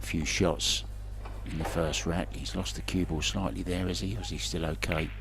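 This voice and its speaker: A man's voice: low voice, steady tone, normal speed, background noise. A man commentating pool remotely, chatty and joking, asking his co-commentator questions. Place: remote booth.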